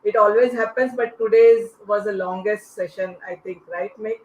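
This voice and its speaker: A host moderating an online panel discussion without a script, in halting, stop-start phrases. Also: medium pitch, steady tone. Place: online video call. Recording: muffled, echoey online call with noise.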